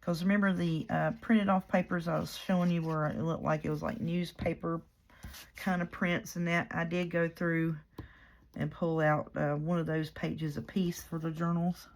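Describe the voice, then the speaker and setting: Hoarse, gravelly woman. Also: low-pitched, level tone, moderate pace. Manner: soft-spoken and rambling. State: hoarse from a cold. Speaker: craft vlogger. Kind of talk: casual crafting chat.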